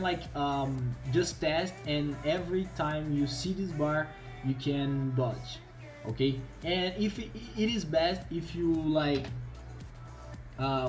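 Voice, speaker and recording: A man's voice: fairly high pitch, a little mellow. A man from Brazil with a Brazilian Portuguese accent gives a casual game tutorial, hesitantly rephrasing as he goes. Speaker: gaming video creator.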